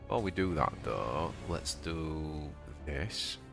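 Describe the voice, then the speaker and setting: A man with a Yorkshire accent streaming a card game live, chatting to viewers and yelping with excitement at surprises. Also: takes it slowly, gravelly, music in the background. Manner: excitable, bursts into high yelps at surprises. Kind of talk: live gameplay commentary.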